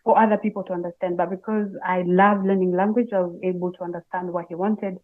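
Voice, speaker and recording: A woman's voice: lowish voice, fairly even intonation, fast talker. A woman from Tanzania with a Tanzanian accent, a native Swahili speaker, answering interview questions conversationally as a language teacher. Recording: muffled, phone-like band-limited call audio.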